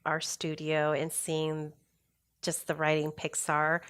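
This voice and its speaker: A middle-aged woman who grew up speaking Spanish, talking easily in an interview. Her voice sits a little low, and she gets lively when she acts out what other people said, laughing as she goes. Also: slow pace, clean tone. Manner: animated, laughs often, acts out quoted voices. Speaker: guest, studio safety leader. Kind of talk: casual interview chat.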